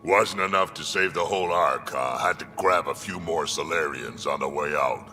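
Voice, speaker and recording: An extremely low, growling old alien warrior from a sci-fi game. He talks bluntly with sour, sardonic bite, over prominent music. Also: moderate pace, sharp tone, big-room sound, heavily acted. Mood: bitter. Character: gruff old male Krogan alien warrior, bitter and blunt. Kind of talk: video game cutscene acting.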